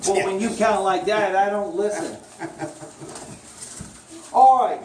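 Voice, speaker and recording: Male children's church quiz leader, slow informal delivery, recorded from afar with loud background noise. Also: high voice. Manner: teasing, prompting, repeats questions. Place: echoey indoor room with children. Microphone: distant recorder. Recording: roomy, distant, very noisy live recording.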